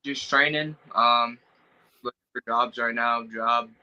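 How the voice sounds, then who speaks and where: A young man, a fighter guest on a podcast, talking casually and haltingly with many fillers. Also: medium pitch, steady tone, bright, forceful tone, clear, resonant tone, highs cut off. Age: young adult. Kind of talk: casual interview chat.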